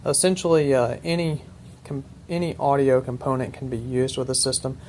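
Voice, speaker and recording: A man's voice: mid-range voice, little pitch movement, normal speed, a little mellow, slight background noise. A man giving an unscripted, methodical technical walkthrough in a matter-of-fact, precise way, as a presenter demonstrating equipment.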